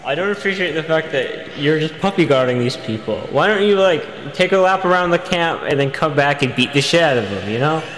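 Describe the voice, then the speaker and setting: A man's voice: fairly high pitch, expressive, fairly quick, hardly any pauses, a bit bright. A man talking casually and jokingly with friends during a game. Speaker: gamer playing with friends. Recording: noisy voice chat.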